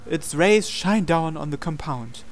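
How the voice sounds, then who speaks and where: A man with a somewhat high voice narrates a visual novel playthrough. He reads the story text dramatically and adds his own commentary as host. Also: expressive, unhurried. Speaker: host reading a game aloud.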